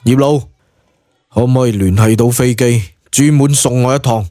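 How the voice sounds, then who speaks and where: A man's voice: medium pitch, moderate pace, dark tone, no room sound, clean studio sound, lightly acted. A man voicing a decisive, somewhat weary male hero in Cantonese, plain-spoken and terse, in an audio drama. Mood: calm, commanding.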